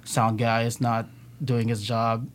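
A man from the Philippines talks as a guest on a casual podcast. His pitch sits in the middle range, and he rambles along, packing his speech with fillers and easy swearing. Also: completely flat delivery, takes it slowly, slight background noise. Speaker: band member, guest.

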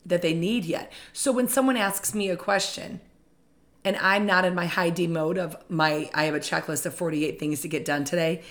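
A woman with a slightly raspy voice talks in a relaxed podcast conversation. She is chatty and enthusiastic, and she speaks as a leader in real estate. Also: lowish voice, moderate pace.

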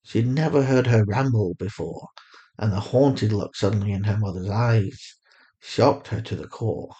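A man's voice: on the low side, a little slow, dark tone, dull audio. A man reading scripted story narration as the narrator of a piece of fiction.

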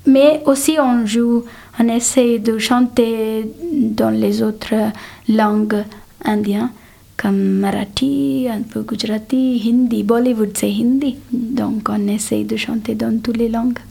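A woman from South India whose first language is Telugu, speaking with an Indian accent and answering interview questions in a halting, restarting way. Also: a little high, fairly even intonation, gravelly, warm tone. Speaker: interviewee, singer. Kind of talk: conversational interview.

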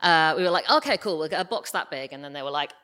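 A woman's voice: sits low, animated, quick pace, sharp tone. A British woman speaking informally and gushingly as an interview guest, telling casual anecdotes and acting out bits of imagined dialogue. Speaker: guest, audio drama creator. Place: live podcast festival stage with audience.

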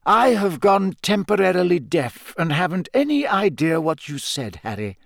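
A man performs an elderly wizard headmaster from a storybook. The voice sits high and swoops gently through measured, formal phrases. He is calm and authoritative, with a dry, whimsical wit. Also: creaky, dry recording, exaggerated voice. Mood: wry. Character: wise old male wizard headmaster, wry, calm and authoritative.

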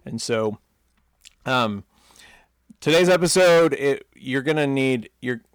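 A man's voice: medium pitch, lively intonation, slow pace, clean tone, dry recording. Earnest man co-hosting, dry close sound. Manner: earnest, encouraging. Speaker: podcast co-host. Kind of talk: casual podcast talk.

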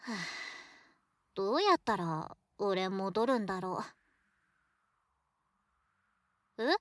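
A woman playing a shy, affectionate young student, a boy turned into a girl, talking softly to a close friend in a voice drama. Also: high voice, no room sound, full character voice. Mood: bewildered, troubled, then relieved, grateful. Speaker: voice actor in a situation voice drama. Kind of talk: Japanese situation voice drama acting, intimate one-sided dialogue.

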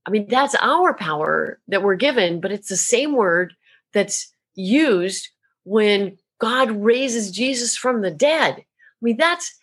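A woman from Tennessee with no regional accent, an animated, expressive storyteller talking as a podcast guest. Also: mid-range voice, slow pace, husky. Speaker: guest, author and minister. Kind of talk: casual interview talk.

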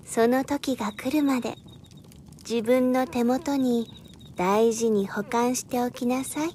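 A woman acting as a worldly, self-assured adviser in an audio drama, speaking in a deliberate, persuasive, feminine way. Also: fairly high pitch, fairly even intonation, warm tone, slight background noise. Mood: gentle, advising.

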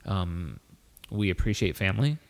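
A man with a lowish voice, talking earnestly and rambling with many restarts as a podcast host. The sound has a long, hall-like reverb. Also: very animated, normal speed, vocal fry. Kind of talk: casual chat.

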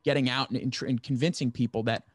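A man's voice: medium pitch, fairly even intonation, quick pace, slightly rough, no echo. A man in his twenties chatting casually as he seeks advice, rambling and earnest. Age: 20s. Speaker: podcast host, first-time writer seeking advice. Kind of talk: casual chat.

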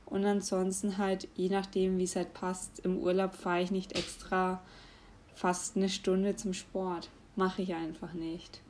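A young woman speaks in a relaxed, unhurried way. She chats casually, with fillers and small laughs. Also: on the low side, a little hiss or hum. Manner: chatty, laughs often, hesitant fillers. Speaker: vlogger. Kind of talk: casual advice talk.

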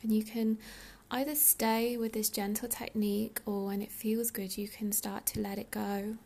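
A woman leading a guided meditation in a soothing, unhurried, breathy soft delivery with pauses. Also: mid-range voice, level tone. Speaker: meditation guide, yoga teacher.

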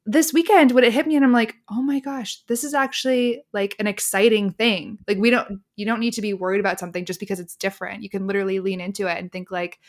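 A woman in her twenties co-hosting a podcast in casual chat, giggly and animated. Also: medium pitch. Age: 20s. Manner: giggly, animated, uses lots of "like". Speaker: podcast co-host.

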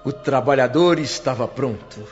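A man's voice: mid-range voice, moderate pace, natural voice. A Brazilian actor playing a grateful, devout spirit who narrates his return home in a solemn, emotive radio-drama style. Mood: deeply moved. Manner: solemn, reverent, emotive, ornate phrasing. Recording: dull lo-fi radio recording with music. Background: music.

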